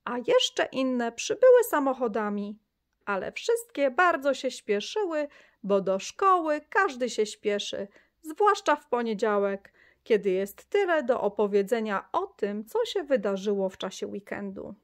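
A woman reading a children's story aloud, lively, with the children's lines in brighter, higher voices. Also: unhurried.

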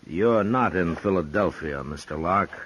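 Gruff terse marshal, very deep husky voice, old radio western. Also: male voice, slow pace, fairly bright tone, exaggerated voice. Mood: stern. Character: gruff stern male frontier marshal. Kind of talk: 1950s radio drama acting. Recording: dull old radio broadcast.

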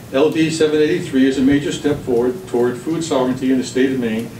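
A middle-aged man reading prepared testimony in a measured, formal way at a public hearing. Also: mid-range voice. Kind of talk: prepared testimony read aloud. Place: government committee hearing room. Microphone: camera across the room. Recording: distant, echoey room recording with background noise.

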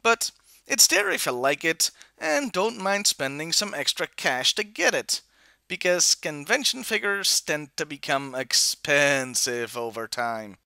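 Animated man reviewing toys, bright voice. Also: on the high side, a little slow, gravelly, no room sound. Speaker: toy review host. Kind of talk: scripted review narration.

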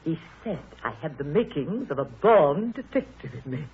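A woman's voice: low-pitched, very animated, normal speed, breathy edge, full character voice. An actress playing a chatty, nosy woman who fancies herself a sleuth, coy and insistent, in 1930s radio style. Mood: pleased, proud. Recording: muffled narrow-band 1930s radio broadcast.

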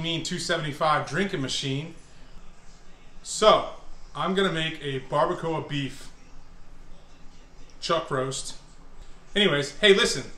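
A man in his thirties talking through a home cooking vlog in a relaxed, jokey way, filmed in a large kitchen by a camera across the room. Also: fairly high pitch, distant mic. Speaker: cooking vlogger. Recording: roomy kitchen sound from the camera, music behind. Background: background music.

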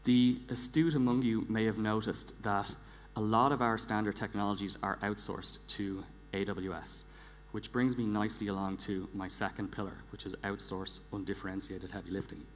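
A man in his forties giving a prepared conference talk on stage in an emphatic, deliberate manner, repeating words for weight. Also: fairly low pitch, steady tone. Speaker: conference speaker, engineering director. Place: large theatre stage, indoors. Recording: echoey large hall, clear background hum.

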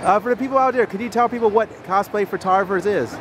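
A man's voice: high voice, fast. A man doing casual on-the-spot interviews at a convention. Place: busy convention hall. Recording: very noisy crowded convention hall.

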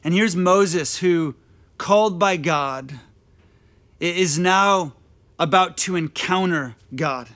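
A man in his thirties delivers a sermon with slow, deliberate pacing and a slightly raised pitch. He speaks earnestly, circling back on phrases as he presses his point. He stands on a dark indoor stage, talking to a camera at mid distance. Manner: earnest, repeats phrases, gestures while preaching. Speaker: pastor.

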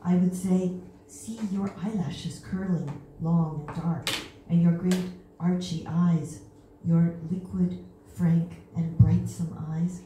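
An older woman reading her own poem at an open mic, wistful and lifting into bright emphasis on the quoted lines. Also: lowish voice, slight background noise. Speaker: poet. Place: small stage in an indoor venue. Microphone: stand mic, filmed from a far camera. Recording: echoey room from far off.

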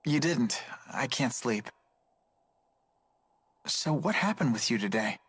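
Earnest teen boy hero for a game, slightly husky, dry sound; man acting. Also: medium pitch, animated, slow pace, a bit bright, dry recording, exaggerated voice. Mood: earnest, unsure. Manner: earnest, youthful. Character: earnest, gentle teenage boy, caring and hesitant.

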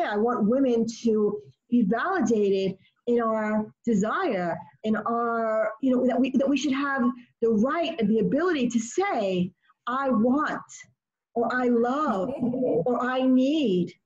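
A woman's voice: a little high, a little mellow. Earnest woman teaching over a video call. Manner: earnest, repeats phrases while thinking aloud. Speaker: teacher leading an online study session. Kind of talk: online class discussion. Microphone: laptop microphone on a video call. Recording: dull lo-fi video call audio.

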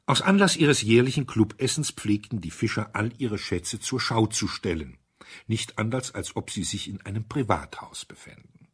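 A man with a fairly low, husky voice narrates a literary tale. His delivery is deliberate and measured, placing expressive emphasis on phrases as a practiced audiobook reader does.

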